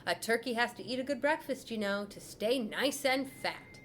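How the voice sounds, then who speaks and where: A woman voicing a gentle, caring mother in a storybook reading. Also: on the high side, moderate pace, a little hiss or hum, voice pushed up, close to an everyday voice. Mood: soothing.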